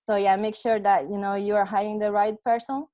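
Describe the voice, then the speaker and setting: A young woman from Brazil speaks with a Brazilian accent at an average pitch. She presents informally on a video call, pausing often in a conversational way through an earphone headset. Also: level tone, warm tone. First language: Portuguese. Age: young adult. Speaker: presenter, software testing specialist. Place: small room at home, indoors.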